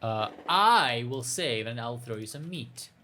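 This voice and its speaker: A man doing a casual game playthrough, reading the story text aloud in a halting way, stumbling over words. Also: mid-range voice, lively intonation, sharp tone, a little hiss or hum. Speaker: streamer playing a visual novel.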